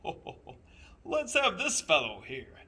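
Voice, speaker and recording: Storybook Santa Claus, played by a man: old, jolly, exuberant, pitched up, loud and forceful. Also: huge pitch swings, a little slow, slightly rough, exaggerated voice. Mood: jolly, hearty. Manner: booming ho-ho-ho belly laugh, exuberant.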